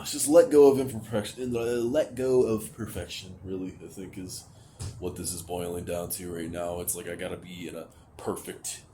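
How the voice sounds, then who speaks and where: A young man with a very low, deep voice talks straight to his camera, hesitant and rambling. He sounds anxious and sighs often, with casual swearing. A steady hiss sits under the recording. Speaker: vlogger. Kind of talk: candid venting to camera. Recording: noticeable background hiss.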